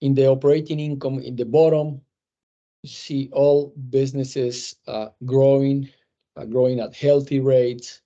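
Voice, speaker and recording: Male executive, measured and halting delivery, mellow tone, muffled call audio. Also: medium pitch, steady tone. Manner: measured, hesitant with frequent fillers and restarts. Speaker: company executive presenting results. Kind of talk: earnings call presentation.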